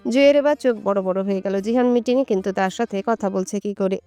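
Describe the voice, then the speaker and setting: A woman's voice: medium pitch, fast, dark tone, clear, resonant tone, dull audio, soft background music. A woman storyteller reading a scripted romantic story as narration.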